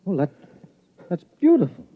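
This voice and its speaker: A man's voice: high-pitched, huge pitch swings, moderate pace, full character voice. An actor playing a polite, curious male poet in a 1950s radio drama, speaking plainly. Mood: curious, impressed. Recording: thin, phone-like old radio recording.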